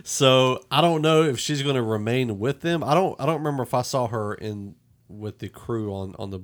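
A man's voice: mid-range voice, normal speed. A man hosting a podcast, chatting casually about films and thinking aloud as he goes. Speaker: podcast host. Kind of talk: casual film review chat.

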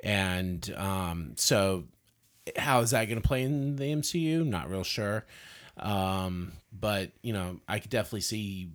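Lower-voiced man debating casually. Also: a little slow. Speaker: podcast panelist. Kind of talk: casual movie review chat.

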